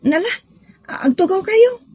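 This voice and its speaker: A woman acting an eager, outspoken young village woman in a radio drama, expressive and bantering, with a Filipino accent. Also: very high-pitched, moderate pace, no echo. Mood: teasing.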